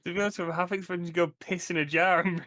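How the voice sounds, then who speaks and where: A man's voice: high voice, little pitch movement, racing, pushed and bright, dry recording, clean studio sound. A man playing a tabletop roleplaying game, chatting casually in a blunt, sweary way. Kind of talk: casual group banter.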